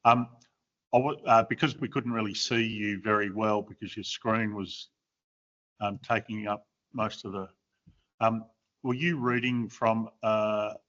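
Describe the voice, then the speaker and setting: A man on a hearing panel asks questions courteously and hesitantly, full of fillers. His voice is fairly low. The audio is dull and lo-fi. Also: steady tone, measured pace, a bit bright. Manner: hesitant, courteous, many ums. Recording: lo-fi video call audio.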